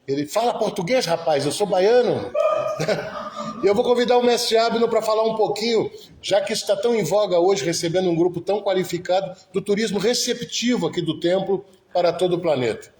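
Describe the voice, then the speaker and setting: A man's voice: high-pitched, brisk, a little mellow, a little hiss or hum. A man from Brazil hosting an event, giving an informal but effusive introduction to guests. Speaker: host of the venue. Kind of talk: informal public introduction speech. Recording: echoey room.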